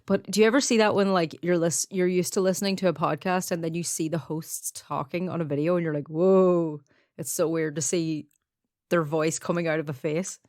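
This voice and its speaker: A woman's voice: lowish voice, fairly even intonation, a little fast, a little mellow, no echo, studio quality. A woman co-hosting a podcast in casual chat, chatty with many fillers and frequent laughter. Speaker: podcast co-host. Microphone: headphones, studio mic.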